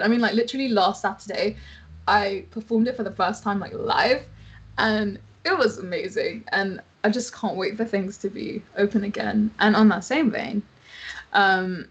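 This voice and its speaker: A woman whose mother tongue is Tamil, chatting casually and informally as a singer-songwriter introducing her own songs, with a giggly, like-peppered manner. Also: mid-range voice. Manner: informal, giggly, uses "like" often. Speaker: singer-songwriter and producer, festival guest performer.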